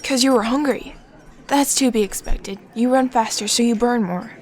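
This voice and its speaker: A woman's voice: on the high side, normal speed, a little mellow, close to an everyday voice. A woman voicing a brainy, helpful young boy in an audio drama, explaining things analytically. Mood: instructive.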